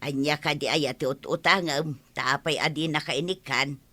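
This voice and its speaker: A woman acting a kind-hearted older village neighbour, gentle and forgiving, with an Ilocano accent in a comedy radio drama. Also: deep voice, steady tone, normal speed. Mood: generous. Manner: gentle, forgiving, matter-of-fact.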